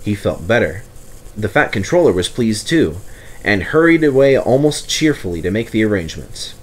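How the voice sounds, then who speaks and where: A man's voice: lowish voice, very animated, moderate pace, rough recording. A man narrating a children's story from a script as the storyteller.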